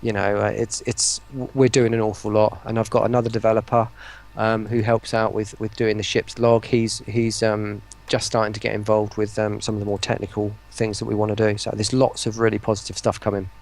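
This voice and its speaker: British male guest, casual interview. Lowish, creaky voice, halting delivery. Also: level tone, darkish tone. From United Kingdom. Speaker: guest, voice pack producer.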